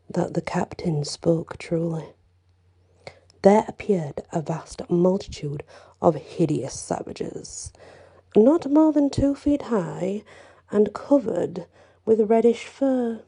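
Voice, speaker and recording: Slow, gravelly woman telling a tale. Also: medium pitch, expressive. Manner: slow, hushed, with whispered passages and casual asides. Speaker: storyteller. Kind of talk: reading a folktale aloud in first person.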